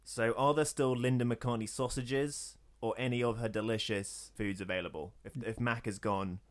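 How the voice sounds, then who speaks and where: A man's voice: mid-range voice, fairly even intonation, normal speed. A man co-hosting a podcast, chatting in a wry, rambling way. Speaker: podcast co-host. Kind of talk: casual chat.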